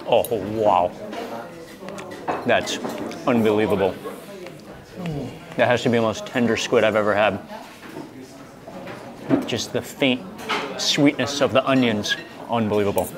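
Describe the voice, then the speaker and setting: A man in his thirties excitedly narrating a seafood meal for a food vlog, savoring each bite with hums and exclamations, recorded on a small clip mic in a restaurant. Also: fairly low pitch, measured pace, pauses often. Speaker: food vlogger. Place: restaurant dining room and outdoor grill. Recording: busy restaurant crowd behind. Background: restaurant crowd chatter.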